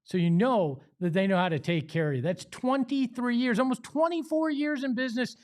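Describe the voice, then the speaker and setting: A man's voice: very high-pitched, a little fast, darkish tone. A man with an American accent hosting a sports show, speaking in an emphatic, conversational way. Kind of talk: opinionated sports talk and host-read ads.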